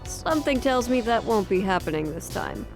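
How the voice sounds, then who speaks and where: A woman's voice: medium pitch, animated, moderate pace, over music, close to an everyday voice. A woman playing a no-nonsense, sardonic senior police officer in an audio drama. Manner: firm, dry, sardonic.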